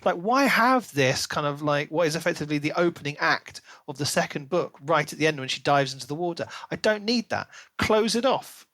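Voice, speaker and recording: Man, fairly high voice, podcast co-host, emphatic and opinionated, casual discussion, dry close sound. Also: expressive, fairly quick, hardly any pauses, fairly bright tone, no echo. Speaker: podcast co-host reviewing books.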